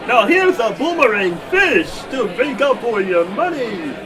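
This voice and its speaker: A boisterous puppet townsperson in a comic radio play. The voice is high and lively, with a clearly audible audience behind it. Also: male voice, a little slow, a crowd in the background, full character voice. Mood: jolly. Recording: live stage with audience noise.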